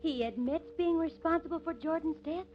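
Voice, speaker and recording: An actress playing a curious, pert young wife and sleuthing sidekick, in 1950s radio drama style. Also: female voice, very high voice, fairly quick. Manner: inquisitive, pert. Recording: muffled old radio recording.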